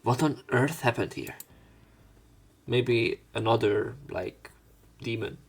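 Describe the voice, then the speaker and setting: A man's voice: medium pitch, lively intonation, takes it slowly, gravelly, breathy edge. A man doing a casual let's play of a visual novel, reading the story aloud with rambling, conversational asides.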